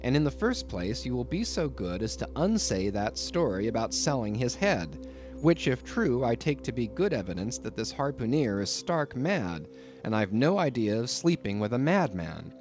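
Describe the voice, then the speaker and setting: Guy playing a huffy lodger in an audiobook, pompous and emphatic, pitch jumps around a lot. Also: very animated, normal speed, a little mellow, soft background music, natural voice. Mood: stern, exasperated. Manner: pompous, emphatic, formal phrasing. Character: indignant, wordy young male lodger, excitable. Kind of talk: audiobook character voice.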